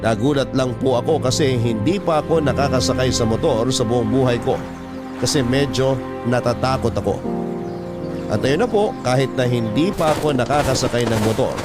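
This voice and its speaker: A man reading a scripted first-person love story as narrator, in a conversational, confiding manner. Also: medium pitch. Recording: clear music under the narration. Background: music.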